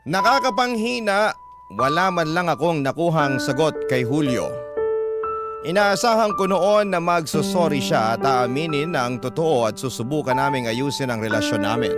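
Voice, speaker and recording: A man reads a heartfelt first-person story in a scripted radio drama style. His voice sits at a middle pitch, with music playing loudly behind it. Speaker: radio host reading a listener's letter. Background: loud dramatic music.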